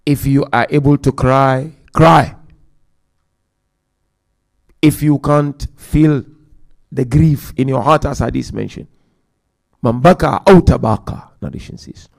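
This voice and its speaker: A man giving a Muharram religious lecture, counting off numbered points, chanting Quran verses quickly, and swinging between loud emphasis and quiet asides. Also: mid-range voice, creaky. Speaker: Muharram majlis speaker.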